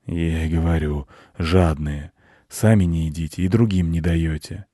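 A man voicing a gloomy, worldly-wise storybook wolf who grumbles in a slow, colloquial, weary way. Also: sits low, fairly even intonation, mellow, dry recording, studio quality. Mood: gloomy, matter-of-fact.

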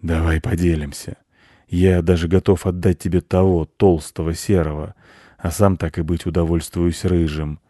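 A man voicing a gloomy, worldly-wise storybook wolf who grumbles in a slow, colloquial, weary way. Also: deep voice, little pitch movement, dark tone, no echo, clean studio sound. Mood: gloomy, matter-of-fact.

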